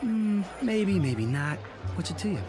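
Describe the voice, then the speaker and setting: An actor playing a brash, cocky, excitable gambler who talks in boastful, bossy slang. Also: male voice, high voice, a little slow. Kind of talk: dramatic TV acting. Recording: vintage TV soundtrack with loud music.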